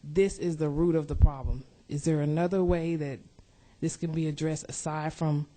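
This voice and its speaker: A woman's voice: deep voice, fairly even intonation, moderate pace, dark tone. A woman committee member asking a question, restating it over and over to get at her point. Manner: probing, rephrases her question repeatedly.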